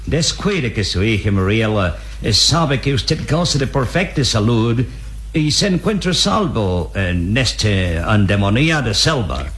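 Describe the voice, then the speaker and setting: Sly, slow-talking villainous captain with lively pitch. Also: male voice. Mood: falsely friendly. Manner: mocking, falsely deferential. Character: greedy, scheming male expedition captain, sly villain. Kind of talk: old radio serial acting.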